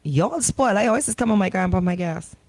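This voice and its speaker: A woman's voice: medium pitch, expressive, fast, a little mellow, dry recording. A woman co-host, chatting casually in a blunt, opinionated way. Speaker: radio co-host. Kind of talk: casual chat.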